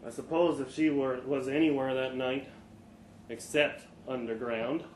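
A man giving an expressive live reading of a first-person short story, with dramatic pauses and the odd stumble. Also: mid-range voice. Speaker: story reader. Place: live storytelling event in a pub room. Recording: echoey live venue, faint background noise.

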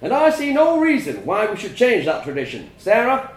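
A man voicing a stern, domineering farmer father who lectures solemnly and erupts into furious shouting. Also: sits high, huge pitch swings, moderate pace, reverberant, pitched above the performer's own voice.

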